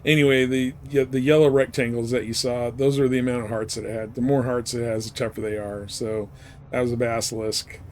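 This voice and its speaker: Male game streamer, casual and rambling, muttering commentary, mid pitch, faint background noise. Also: level tone, brisk, a little mellow. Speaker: game livestreamer. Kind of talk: casual live gameplay commentary. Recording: clearly noisy background.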